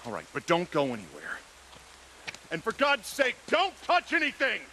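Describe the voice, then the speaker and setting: A protective, exasperated young cop in a video game. His high voice swings widely as he scolds and urges, with faint ambient noise behind. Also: wildly swooping pitch, moderate pace, bright, forceful tone, exaggerated voice. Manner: urgent, scolding. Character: exasperated, responsible young policeman, protective older brother. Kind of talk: video game cutscene acting.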